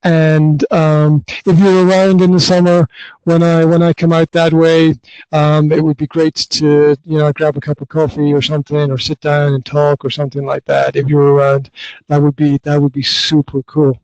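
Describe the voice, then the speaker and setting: A man's voice: a little high, completely flat delivery, moderate pace, a little mellow, no echo, rough recording. A man from the north of Scotland, hosting a casual podcast interview over an online call, friendly and often repeating himself. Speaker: podcast host.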